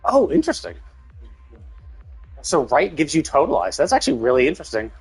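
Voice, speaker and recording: A man's voice: fairly high pitch, wildly swooping pitch, fairly quick, vocal fry, husky, low-quality recording. Man thinking aloud over game music. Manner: rambling, analytical, thinking aloud. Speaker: live game streamer. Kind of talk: casual gameplay commentary.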